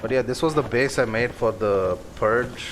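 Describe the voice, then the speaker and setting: A man's voice: medium pitch, normal speed, fairly bright tone, faint music behind. A man casually commenting on his game during a live stream, rambling along with many fillers. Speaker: gameplay streamer.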